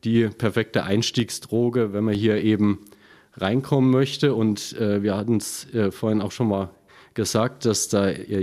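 A man from Upper Franconia with a Franconian German accent, talking in a relaxed, explanatory way with plenty of fillers. His voice sounds mellow and dark. He sits in a small basement brewery room. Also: fairly low pitch. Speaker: small craft brewer, guest. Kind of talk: casual interview.

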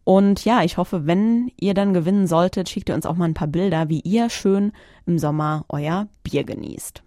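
A woman's voice: fairly low pitch, moderate pace, mellow, dry recording. A woman hosting a podcast alone, chatting informally in a studio and often searching for her words. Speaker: podcast host. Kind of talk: casual solo podcast announcement.

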